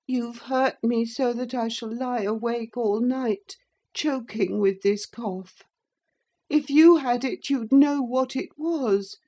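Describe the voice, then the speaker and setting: A woman's voice: on the high side, slow pace, mellow, pitched above the performer's own voice. A woman voicing a sickly, petulant teenage boy in an audiobook, whining and self-pitying. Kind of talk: audiobook character reading.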